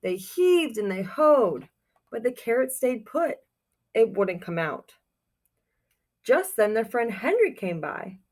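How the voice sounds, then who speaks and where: A woman reading a picture book aloud to young children like a teacher, expressive and encouraging, pausing to put questions to her listeners. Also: on the high side. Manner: expressive, encouraging, exclaims softly over pictures.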